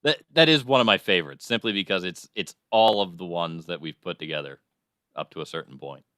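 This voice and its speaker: A man's voice: deep voice, brisk, fairly bright tone, clean tone, no room sound, clean studio sound. A man co-hosting a comedy podcast, signing off in a playful announcer style. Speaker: podcast co-host. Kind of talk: casual comedy podcast chat.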